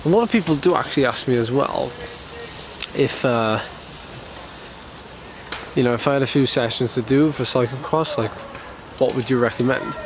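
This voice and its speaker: A man's voice: medium pitch, normal speed, pauses often, low-quality recording. Vlogging cyclist, male, from the UK, a bit congested, rambling and casual, with faint noise behind. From United Kingdom. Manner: rambling, many fillers and restarts. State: ill, slightly congested. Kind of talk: casual vlog talk to camera.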